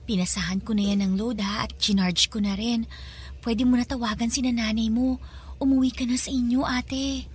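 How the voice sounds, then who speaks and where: Woman playing a hushed, high-voiced kind young girl. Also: fairly even intonation, normal speed, breathy edge. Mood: hushed, caring. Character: kind, timid young girl secretly helping a maid. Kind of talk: Filipino radio drama acting.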